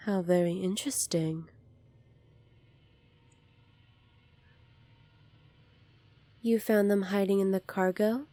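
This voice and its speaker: A woman playing a poised, kindly young alien princess in an ASMR roleplay, polite, formal and measured, soft-spoken with breathy moments. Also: mid-range voice, no room sound, lightly acted. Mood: curious, courteous.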